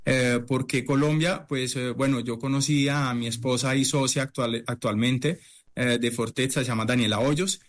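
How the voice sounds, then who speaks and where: A middle-aged man from Italy, a chef, answering interview questions in a halting way, full of fillers and restarts. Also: mid-range voice, fairly even intonation. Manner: hesitant, many fillers and restarts.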